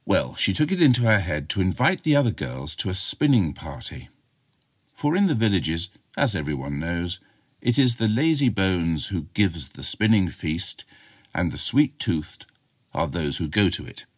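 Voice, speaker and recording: A man's voice: low voice, sing-song, normal speed, breathy edge, no echo, studio quality. A man narrating a short chiller as an audiobook reader, in a dramatic storytelling style.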